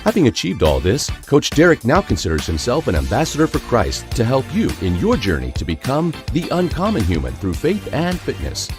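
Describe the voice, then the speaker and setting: A man's voice: mid-range voice, wildly swooping pitch, a little fast, hardly any pauses. A man reading a scripted, polished announcer introduction for a podcast. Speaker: show announcer. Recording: echoey with music underneath.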